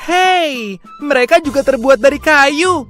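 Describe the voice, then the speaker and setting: A woman's voice: high-pitched, animated, measured pace, a bit bright, faint music behind. A woman voicing a naive, eager little wooden puppet boy in an innocent, childlike way for a storybook cartoon. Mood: excited.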